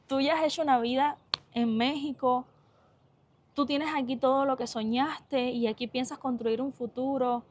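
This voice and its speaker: A young woman from Cuba speaks straight to her followers in a slightly high voice, her Cuban accent softened. She talks earnestly and from the heart, pausing on fillers and restarting phrases as she thinks. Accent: Cuban Spanish, softened. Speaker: vlogger. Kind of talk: casual talk to viewers.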